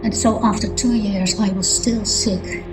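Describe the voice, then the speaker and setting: A woman's voice: mid-range voice, fairly even intonation, measured pace, poor audio. A middle-aged woman narrating her own story as a personal testimony. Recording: music behind the voice. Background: music.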